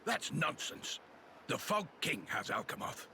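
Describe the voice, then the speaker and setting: A man's voice: a little high, sing-song, a little slow, bright, forceful tone, slight background noise, full character voice. An actor playing a guarded, weary veteran guardsman in a video game, speaking tersely and formally. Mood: defiant. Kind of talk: video game cutscene acting.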